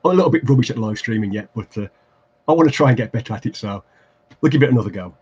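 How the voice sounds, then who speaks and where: A man's voice: mid-range voice, expressive, very fast, a little mellow, husky, dull audio. A man with an English Midlands accent hosting a casual craft livestream, rambling and self-deprecating with lots of fillers and restarts as he works at his desk. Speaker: livestream host building tabletop terrain. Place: home craft desk, indoors.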